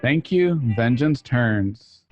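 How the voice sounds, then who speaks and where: A man's voice: mid-range voice, lively intonation, takes it slowly, dry recording. A man delivering a scripted promo as the announcer of a radio show, with guitar music playing behind him. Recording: dull lo-fi sound under loud music.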